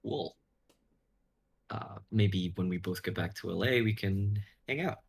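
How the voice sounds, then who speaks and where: A man reading an awkward, smitten young man in a play, hiding his excitement. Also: fairly low pitch, a little slow, vocal fry. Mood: awkward, shy.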